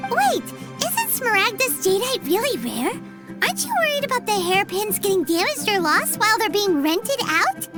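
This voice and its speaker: Very high, squeaky childlike companion. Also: female voice, big pitch swings, moderate pace, loud music behind the voice. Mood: curious. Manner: squeaky, exclamatory, refers to self by name. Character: small childlike floating companion, cheeky, nosy, third-person talker. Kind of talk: anime game voice acting.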